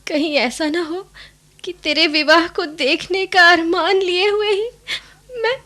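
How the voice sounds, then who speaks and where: A woman playing an emotional, doting old grandmother in a radio drama, with slow, pleading delivery. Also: very high voice, bright, forceful tone, heavily acted. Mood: tearful pleading. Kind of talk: Hindi radio drama acting.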